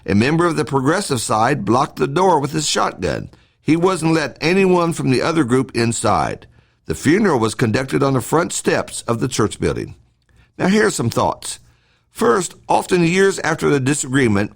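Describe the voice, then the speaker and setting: Gravelly man reading a devotional. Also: medium pitch. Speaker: preacher reading a devotional podcast.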